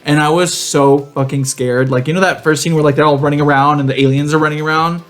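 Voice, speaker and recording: A man co-hosting a casual podcast, talking in a campy, sassy, expressive way and swearing freely. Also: a little high, fast. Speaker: podcast co-host. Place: home studio garage.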